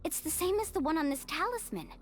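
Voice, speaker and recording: High-voiced young female expedition guide. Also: animated, fast. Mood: puzzled. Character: level-headed young woman guiding an expedition, friendly and cautious. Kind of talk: English video game voice acting.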